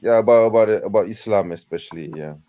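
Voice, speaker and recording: A man's voice: fairly low pitch, fast talker, mellow. A man from Malaysia with a Malaysian accent, calling in with casual, hesitant questions and repeating his phrases. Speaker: caller. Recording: dull lo-fi call.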